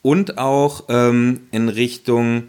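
A man's voice: mid-range voice, fairly even intonation, very slow, darkish tone, clear, resonant tone. A man co-hosting a podcast, talking casually and rambling with lots of fillers and restarts. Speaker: podcast co-host. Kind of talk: casual chat.

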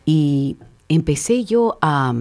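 Very low-voiced woman, a visual artist guest. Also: animated, slow, darkish tone. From southern Texas, on the Mexican border. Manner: thoughtful, many fillers and restarts, slips into English. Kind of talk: conversational interview answers.

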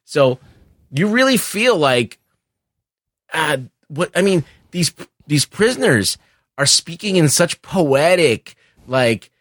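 A man discussing films casually as a podcast panelist, haltingly repeating words as he reasons. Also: fairly high pitch, big pitch swings, fairly bright tone, no echo, studio quality.

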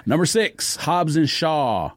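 Male podcast co-host, lively swooping delivery, slightly high voice, argumentative and slangy, casual banter. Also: takes it slowly, no echo, clean studio sound. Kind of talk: casual chat, movie countdown banter.

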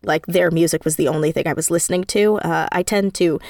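Female interview guest, lower-pitched, dry close recording; casual, emphatic storyteller with a self-deprecating streak. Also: steady tone, fairly quick, darkish tone, no echo. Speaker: interview guest, audio drama writer.

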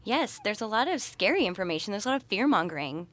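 A woman's voice: mid-range voice, sing-song, fast talker, fairly bright tone. A woman speaking as an interview guest, explaining her views in a thoughtful, conversational way. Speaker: interview guest, sexuality educator and researcher.

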